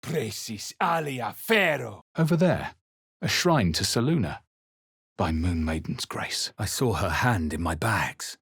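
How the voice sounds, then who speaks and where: A man playing a brooding, wary fantasy adventurer in a video game, with a husky voice and hushed, deliberate, dramatic delivery. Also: on the low side, dry recording, studio quality. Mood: forceful incantation, then watchful, curious, then grave, intent. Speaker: video game player-character voice actor. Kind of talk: dramatic scripted game narration and reaction lines.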